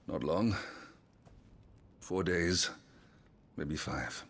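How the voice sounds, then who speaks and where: An actor playing a weary, commanding middle-aged duke with a British accent, speaking in a measured way in a fantasy game. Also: male voice, deep voice, a bit bright, heavily acted. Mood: calm. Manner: measured, authoritative. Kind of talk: dramatic fantasy game acting.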